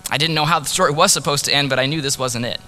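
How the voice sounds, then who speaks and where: A man preaching in the first person as a grieving ancient prophet, earnest and sorrowful, dropping to a hush on key words. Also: on the high side, rapid-fire, slight background noise. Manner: earnest, sorrowful storytelling, drops to hushed tones on key words. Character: grieving ancient male prophet, heartbroken husband. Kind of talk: dramatic first-person sermon monologue.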